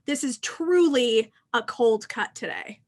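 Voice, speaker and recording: A middle-aged woman speaks as a podcast co-host in an informal, conversational way. Her voice sits a little high for a woman. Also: expressive, slow pace. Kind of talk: casual podcast chat.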